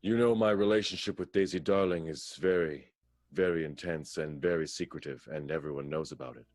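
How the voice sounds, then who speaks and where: A man's voice: a bass voice, brisk, darkish tone, dry recording. An actor playing a scheming, villainous man in an improvised radio play, bossy and exasperated.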